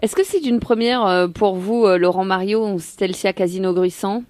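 A woman's voice: mid-range voice, normal speed, a little mellow, no echo. A woman with a mid-range voice hosts a local radio segment, upbeat and promotional as she moves through questions and practical details. The studio sound is dry and close. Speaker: radio host.

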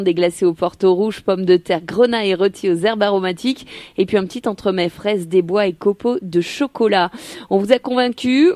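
A woman's voice: medium pitch, darkish tone, no echo. A woman hosting a local radio interview, promoting an event in an upbeat way and reading out its details. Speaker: radio host.

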